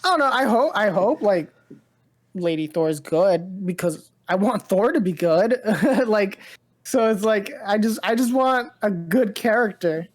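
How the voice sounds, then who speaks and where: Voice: mid-range voice, lively intonation, measured pace. A podcast co-host chatting casually about pop culture, rambling and often repeating phrases.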